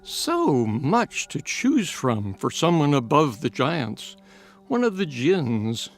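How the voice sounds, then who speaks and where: A man's voice: fairly high pitch, wildly swooping pitch, slow, soft background music, full character voice. A man playing a fearless elderly scholar in an audiobook, speaking with eloquent, theatrical mockery. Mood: mocking. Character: elderly learned scholar, fearless, wry and taunting. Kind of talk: dramatized audiobook acting.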